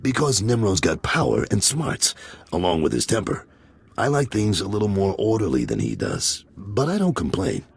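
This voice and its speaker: A man playing a blunt, businesslike lieutenant to a crime lord in a video game, with a gruff, husky voice. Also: low voice, huge pitch swings, moderate pace, no room sound, full character voice. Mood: businesslike, weary. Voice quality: gravelly, husky. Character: gruff, wary crime lord's lieutenant and enforcer. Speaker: voice actor in a game. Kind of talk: video game cutscene acting.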